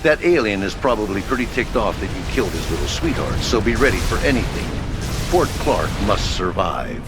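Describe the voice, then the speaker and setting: A man playing a brisk, practical military headquarters officer briefing in a war strategy game, in a clipped, businesslike way. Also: lowish voice, sing-song. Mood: urgent, businesslike. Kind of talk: scripted game mission briefing. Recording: loud music and battle sounds behind.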